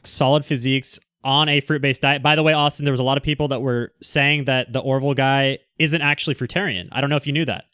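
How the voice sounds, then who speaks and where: A man's voice: mid-range voice, steady tone, fast talker, few pauses, a bit bright, clear, resonant tone, no echo, clean studio sound. A man hosting a casual live discussion, informal and conciliatory in how he talks.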